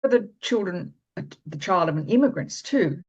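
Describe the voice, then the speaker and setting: A woman host leading a conversational interview, encouraging and quick to affirm, with halting restarts as she frames her questions. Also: fairly low pitch, very animated, slightly rough. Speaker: podcast host. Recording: slightly dull, lo-fi audio.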